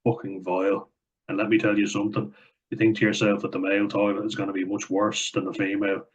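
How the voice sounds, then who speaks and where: Young man, lowish husky voice, blunt and sweary, reading out comments on a dull lo-fi recording. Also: little pitch movement, fast talker. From Ireland. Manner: blunt and sweary, with frequent fillers. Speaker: vlogger. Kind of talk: casual chat reading viewer comments aloud.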